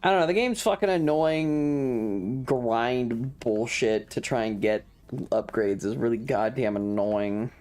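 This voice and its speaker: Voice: mid-range voice, takes it slowly, darkish tone. A streamer giving casual live commentary on a video game in an irreverent, sweary, rambling way.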